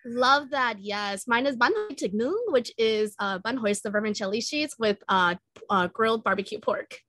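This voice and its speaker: A woman's voice: fairly high pitch, expressive, moderate pace, fairly bright tone. A young woman who is a guest on a casual online show, bubbly and giggly, with lots of fillers. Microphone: headset, video call.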